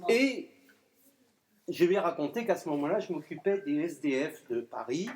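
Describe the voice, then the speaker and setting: A man's voice: on the high side, very animated, moderate pace, darkish tone. A middle-aged man, a poet and clown, telling a story and reciting a comic rhyming poem at a live reading, with playful wordplay. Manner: playful wordplay, chuckling asides. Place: live poetry event room.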